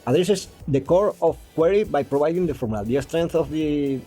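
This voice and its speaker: A man explaining geometry step by step, pausing and restarting often and stumbling when he reads English passages aloud. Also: a little high, animated, mellow, soft background music. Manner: hesitant, with frequent restarts and fillers. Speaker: math explainer.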